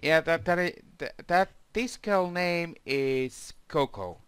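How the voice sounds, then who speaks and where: A man's voice: on the high side, slow pace, a bit bright, clear, resonant tone, no room sound. A man chatting casually and hesitantly, searching for words as he organises a session. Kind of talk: casual chat.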